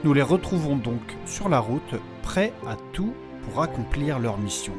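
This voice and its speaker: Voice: mid-range voice, big pitch swings, measured pace. Story narrator over guitar music. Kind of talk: scripted story recap narration.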